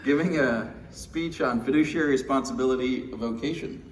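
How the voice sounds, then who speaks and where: A man's voice: mid-range voice, normal speed. A middle-aged man from Texas with an American accent, giving an informal, wry keynote speech. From Houston, Texas, United States. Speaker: keynote speaker. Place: windowless indoor conference room. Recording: distant mic, faint noise, room ambience.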